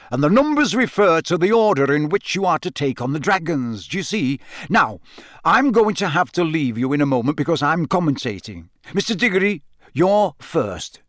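A man voicing a jovial middle-aged male games official in an audiobook, chummy, booming and theatrical. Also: no echo, clean studio sound. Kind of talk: acted audiobook dialogue.

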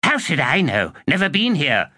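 A man's voice: fairly high pitch, wildly swooping pitch, moderate pace, sharp tone, no room sound, clean studio sound, voice pushed up, full character voice. A man voicing Curdle, an eager, skittish skeleton spirit, in an audiobook reading.

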